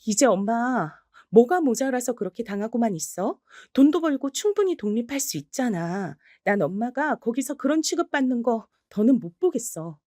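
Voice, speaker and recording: Grown daughter, fierce and outspoken, read by a woman; high, sharp delivery. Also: expressive, normal speed, hardly any pauses, no room sound, studio quality. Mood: pleading. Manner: sharp, defiant, indignant.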